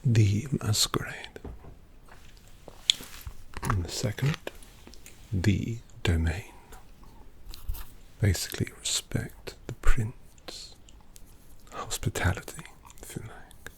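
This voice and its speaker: Vampire painter voiced by a man, whispered, gravelly, polite, quietly menacing, very low. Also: animated, slow pace, long pauses, heavily acted, low-quality recording. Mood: hushed. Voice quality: soft whispery delivery with gravelly creak. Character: refined male vampire painter, courteous yet predatory. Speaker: ASMR roleplay creator. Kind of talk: whispered ASMR roleplay.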